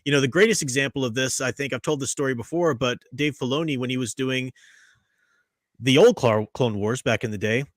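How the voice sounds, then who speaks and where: A man's voice: medium pitch, a little fast, no room sound, clean studio sound. A man hosting a casual podcast chat, opinionated and chatty, laughing often. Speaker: podcast host.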